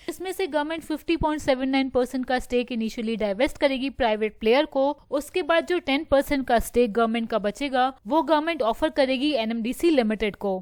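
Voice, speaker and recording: Woman, smooth clear voice, dry close recording, stock news host. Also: high voice, no room sound. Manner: mixes English phrases into Hindi, steady explanatory delivery. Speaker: stock market video host. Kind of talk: scripted market news explainer.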